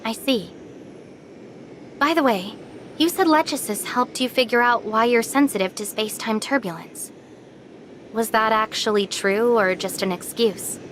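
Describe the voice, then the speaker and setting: A woman voicing an earnest, cautious young heroine in an anime game, measured and sincere. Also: fairly high pitch, fairly bright tone, a little hiss or hum. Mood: serious, cautious.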